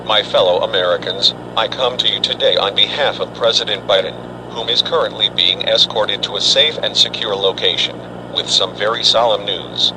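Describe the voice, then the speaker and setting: Grave official spokesman, male actor, measured and formal, slightly low pitch, noisy emergency broadcast. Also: close to an everyday voice. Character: solemn male government spokesman addressing the nation in crisis. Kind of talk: formal scripted emergency address.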